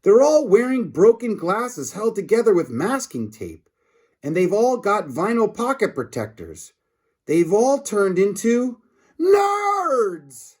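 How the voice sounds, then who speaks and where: Man reading as an excitable, emphatic schoolboy, lively pitch. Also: takes it slowly, breathy edge, exaggerated voice. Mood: alarmed, amazed. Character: excitable schoolboy George, bossy, urgent, conspiring.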